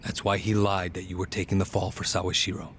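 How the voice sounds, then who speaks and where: A man playing a cold, smug gangster with a gravelly voice and a measured, condescending manner, in a dubbed video game cutscene. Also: low voice, level tone, fairly bright tone, slight background noise. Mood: smug, calm. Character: cold, smug male gangster calmly confessing and needling.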